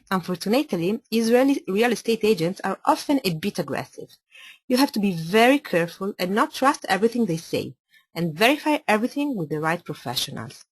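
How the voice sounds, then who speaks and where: A woman from Italy, a native Italian speaker with an Italian accent, presenting a webinar as an architect in careful, slightly halting English. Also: mid-range voice, breathy edge, dry recording.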